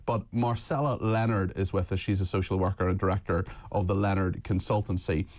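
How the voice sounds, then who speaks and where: A man presenting talk radio with a brisk, polite delivery. His voice sits a little low. He steers between callers and guests and keeps the show moving. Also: fairly even intonation, darkish tone. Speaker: host.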